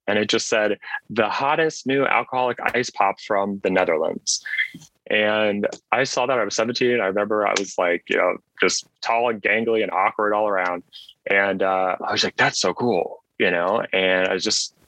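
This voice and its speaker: A man with a very low voice and a bright, forceful edge talks casually as an interview guest. He is chatty and self-deprecating, often checking in with "right?", and the sound is close and dry. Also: steady tone, normal speed, no room sound, rough recording. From Switzerland. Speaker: podcast guest, solo business owner.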